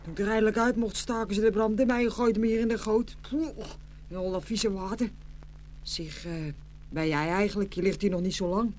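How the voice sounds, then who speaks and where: High-pitched grumbling matchstick, played by a man. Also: fairly even intonation, a little fast, a little mellow, natural voice. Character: grumbling, hard-done-by male burnt matchstick. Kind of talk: children's fairy-tale radio play. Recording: faint hum and noise.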